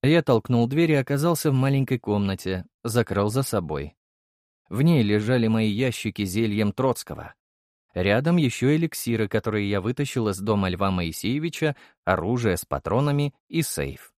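A man's voice: lowish voice, lively intonation, moderate pace, darkish tone, no room sound, clean studio sound. A man reading first-person fiction as a scripted audiobook narration.

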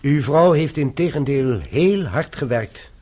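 An actor playing a formal, diplomatic police inspector in a radio drama, polite and measured. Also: male voice, on the high side, expressive, a little mellow. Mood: courteous, wry.

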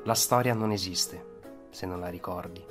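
A man narrating a scripted historical account for radio in a solemn, dramatic manner, with short, deliberate phrases. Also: sits low. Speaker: storyteller, narrator. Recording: radio narration over musical soundtrack. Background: instrumental soundtrack with guitar.

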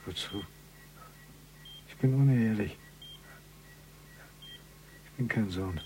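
An actor playing a dying criminal in a radio crime drama, a bitter, proud loner who speaks weakly in terse, halting, sardonic phrases. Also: male voice, fairly low pitch, mellow, a little hiss or hum, rough recording. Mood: bitter, resigned.

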